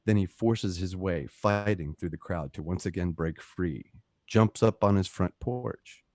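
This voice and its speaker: A man's voice: deep voice, a little slow, darkish tone, no room sound, studio quality. A man narrating a script's scene directions as the narrator of a radio play. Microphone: close home microphone.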